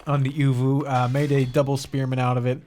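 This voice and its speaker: A man with a mixed American-leaning accent, co-casting a game in a relaxed, thinking-aloud way. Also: medium pitch, brisk, a little hiss or hum. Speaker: co-caster. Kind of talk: casual game commentary.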